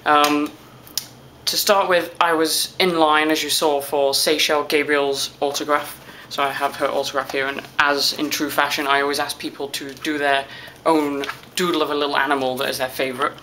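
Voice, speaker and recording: A woman's voice: low voice, little pitch movement, moderate pace, bright, forceful tone. Young British woman, casual vlog, camera held at arm's length, small room, faint hiss. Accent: British English. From England. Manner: chatty, self-deprecating, tired. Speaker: vlogger. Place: small hotel room, indoors.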